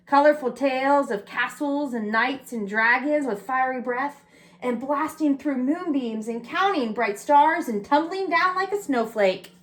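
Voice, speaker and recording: Woman, higher-pitched, preschool storybook reader who pauses to explain and question. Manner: explains pictures and asks listeners questions. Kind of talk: read-aloud picture book with chatty asides to young children.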